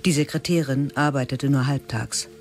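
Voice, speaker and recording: A man narrating a radio play in a literary style, terse, measured and sober. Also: mid-range voice, fairly even intonation, faint music behind.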